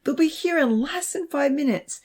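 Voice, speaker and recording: A woman's voice: animated, normal speed, darkish tone. A woman voicing a frightened teenage girl who pleads urgently through tears. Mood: desperate. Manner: high, urgent and sobbing.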